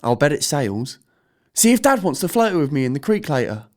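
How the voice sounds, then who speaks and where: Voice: mid-range voice, sing-song, a little fast, lightly acted. An actor playing a curious fifteen-year-old son in an audio drama, casual and playfully cheeky. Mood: excited, curious.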